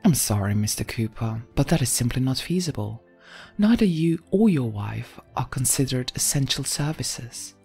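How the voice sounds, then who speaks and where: A woman voicing a calm, bureaucratic government consul in an audio story, with an even, polite, detached tone and a husky voice. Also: rumbling low, normal speed, faint music behind, heavily acted.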